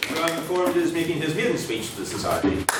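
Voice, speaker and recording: A man hurrying through the introduction of the next speaker, halting and informal with frequent fillers. His voice carries from far off across a reverberant hall, buried in heavy hiss. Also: a little high, big pitch swings. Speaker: debate chair. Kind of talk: chairing announcements. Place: large echoey debating hall. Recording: echoey hall, distant mic, loud noise.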